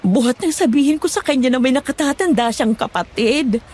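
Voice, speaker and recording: A woman's voice: sits high, lively intonation, brisk, a little mellow. A woman playing a remorseful, fearful middle-aged aunt in a dramatized horror story, apologetic and pleading. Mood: grateful, wistful. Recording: music bed underneath.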